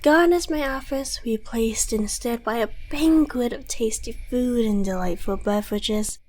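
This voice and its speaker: Suave devil for audio roleplay, played by a woman: high-pitched, slow, courteous, smug, quietly dangerous. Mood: smoothly welcoming. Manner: theatrical, silky, condescending politeness. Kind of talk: ASMR audio roleplay monologue.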